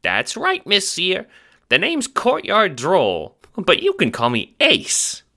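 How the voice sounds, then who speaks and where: Brash little audio drama henchman: very high squeak, Italian-American gangster slang, swooping delivery. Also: huge pitch swings, slow pace, sharp tone, heavily acted. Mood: brash, defensive. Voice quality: squeaky, chipmunk-like. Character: short clumsy squeaky wiseguy guard, brash but good-natured.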